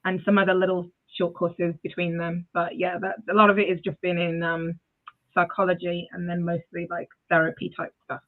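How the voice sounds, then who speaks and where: A woman's voice: lowish voice, little pitch movement, moderate pace. A young woman from Zimbabwe, a guest on a video-call interview, who talks hesitantly with many fillers and laughs often. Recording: tinny phone-like audio.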